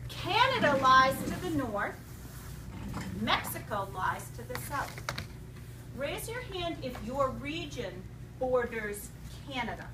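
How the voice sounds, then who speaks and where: A woman's voice: high voice, very animated, slow pace. A woman teaching an elementary class in an interactive read-aloud, instructing, asking questions and repeating students' answers back. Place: indoor school classroom. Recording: roomy, distant, noisy classroom recording.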